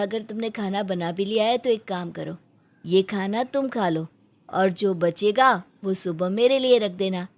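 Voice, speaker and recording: A woman's voice: mid-range voice, natural voice. A woman playing an earnest, devoted young adult son who speaks persuasively in a cartoon story. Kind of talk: dramatized cartoon storybook dialogue.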